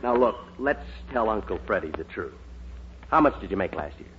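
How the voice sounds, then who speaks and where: An actor playing a slick, hearty young schemer in a 1940s radio sitcom, glib, breezy and always selling. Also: male voice, fairly low pitch, big pitch swings, brisk. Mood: sly. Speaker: supporting actor. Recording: muffled, hissy 1940s radio recording.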